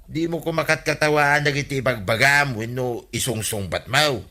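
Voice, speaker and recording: A man's voice: medium pitch, normal speed, pushed and bright, natural voice. A man with an Ilocano accent playing an earnest, advising village uncle in a comedy radio drama. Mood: earnest, concerned.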